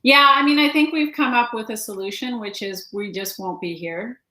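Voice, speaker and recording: A Canadian woman hosting a casual interview, chatty and letting her sentences trail off softly. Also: a little high, normal speed, reverberant. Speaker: podcast host.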